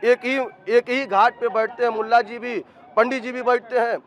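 A man's voice: very high-pitched, level tone, moderate pace, a bit bright. A man in his thirties with an eastern Uttar Pradesh accent, speaking emphatically as a street interviewee. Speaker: local interviewee. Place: outdoors, seated by a street wall. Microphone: handheld reporter's microphone.

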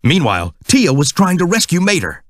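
A man's voice: on the high side, huge pitch swings, brisk, fairly bright tone. A man reading scripted narration for a children's read-along storybook.

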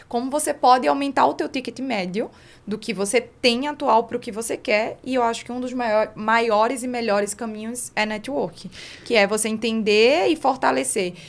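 A Brazilian woman in her thirties giving a casual, emphatic explanation as a podcast guest, close to a studio microphone. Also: fairly high pitch. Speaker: podcast guest, networking specialist. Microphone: close studio microphone on boom arm.

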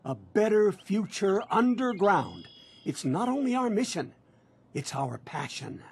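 An actor playing a pushy, cheerful, polite door-to-door salesman in a video game, with a raspy voice. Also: high-pitched, sing-song, a little slow, a little hiss or hum, heavily acted. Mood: cheerfully reassuring. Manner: effusive, polite, ingratiating. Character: eager, fast-talking male door-to-door salesman, pushy and cheerful.

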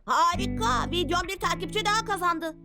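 A woman's voice: very high-pitched, expressive, normal speed, over loud music. A woman voicing a cocky, grumpy little superhero girl in a cartoon dub, brash and exclamatory. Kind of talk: animated cartoon dub acting.

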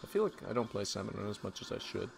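A man streaming a game, hoarse and losing his voice, chatting casually and answering his viewers. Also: fairly low pitch, big pitch swings, racing. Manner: reads chat aloud and answers viewers. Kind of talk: casual live-stream commentary.

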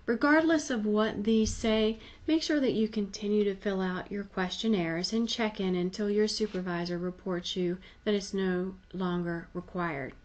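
A woman with a medium-pitched voice presents a software tutorial. She explains each step in plain, conversational language. Her manner is instructive and informal, like a trainer walking a user through an app. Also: highs cut off.

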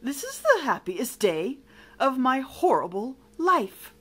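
A woman voicing a horrible monster bully in a storybook, with loud, drawn-out, theatrical exclamations. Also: mid-range voice, very animated, creaky, breathy edge, full character voice. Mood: tearful joy. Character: horrible booming monster bully, secretly lonely and soft.

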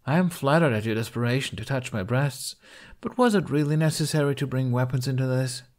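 A man's voice: mid-range voice, a little fast, darkish tone, pitched above the performer's own voice, natural voice. A man voicing a bold, teasing young woman, a burglar heiress, with a sly, dry manner in an audiobook. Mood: dry indignation.

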